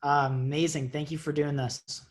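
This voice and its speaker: A man in his thirties answers questions on a video call, speaking through an earphone mic. His voice sits a little high, and he explains things with eager energy, often pausing on an uh. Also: flat delivery. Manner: enthusiastic, explains at length with many uhs. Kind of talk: casual video call interview. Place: indoor office with banner. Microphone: earphone headset on video call.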